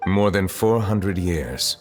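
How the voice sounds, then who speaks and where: A man voicing an ancient, composed chief justice in a video game, speaking formally and calmly. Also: low voice. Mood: grave, composed. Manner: calm, formal, measured. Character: centuries-old solemn male chief justice, composed and formal. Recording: long reverb, music behind.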